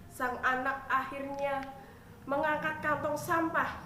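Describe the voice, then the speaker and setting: A young adult woman reading a fairy tale aloud as a storyteller, in expressive, deliberate scripted narration. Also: high voice. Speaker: storyteller, university student. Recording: echoey room with faint noise.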